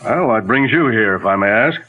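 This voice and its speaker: An actor playing a plain-spoken, cooperative police captain in a 1940s radio detective drama. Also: male voice, lowish voice, expressive, fairly quick, narrow, tinny audio, soft background music. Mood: businesslike. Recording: old 1940s radio recording.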